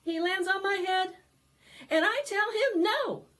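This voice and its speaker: A woman presenting storytime for young children, singing and reading aloud in an animated, sing-song way that coaxes them to join in. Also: extremely high pitch, unhurried. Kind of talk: interactive preschool storytime, singing and read-aloud.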